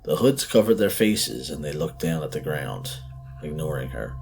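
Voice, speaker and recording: A man narrating a scripted horror story in a measured, theatrical, suspenseful way. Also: rumbling low, sing-song, gravelly, background noise. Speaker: podcast host and story narrator.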